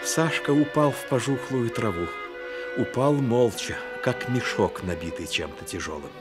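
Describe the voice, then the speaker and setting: A man narrating a Soviet radio play as a grave, measured storyteller. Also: mid-range voice, lively intonation, loud music behind the voice, natural voice. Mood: grave, lyrical. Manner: measured, literary delivery. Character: grave male storyteller of a childhood tale.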